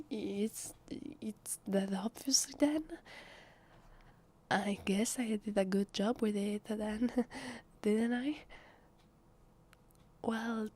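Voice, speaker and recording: A woman with an Italian accent playing a shy, awkward female vampire who poses as human, in a soft, half-whispered, hesitant ASMR roleplay voice. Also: medium pitch. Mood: flustered, then mildly resentful. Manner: hesitant, flustered, stammering. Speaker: voice actress.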